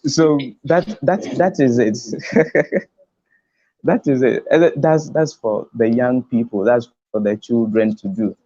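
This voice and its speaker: Casting: Ghanaian man, mellow voice and slow delivery, emphatic motivational conference speaker who repeats himself for weight. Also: mid-range voice. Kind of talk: motivational talk on a video conference. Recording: dull lo-fi online call audio.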